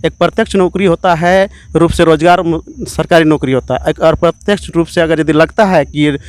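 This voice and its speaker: A man's voice: on the high side, steady tone, a little fast, dry recording. A man giving earnest, emphatic, repetitive political answers in an interview as an activist.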